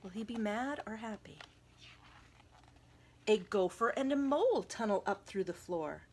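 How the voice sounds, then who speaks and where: A woman reading a picture book aloud to children, expressively and dramatically, dropping into hushed tones and chatty asides. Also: mid-range voice, expressive, measured pace, slight background noise. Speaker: volunteer children's story reader.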